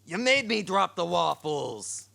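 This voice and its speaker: A man voicing a grumpy, stubborn, proud monster sidekick with a gravelly, slangy, indignant voice in a cartoon audio drama. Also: high voice, sing-song, slow pace, very noisy, exaggerated voice. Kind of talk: animated audio drama acting.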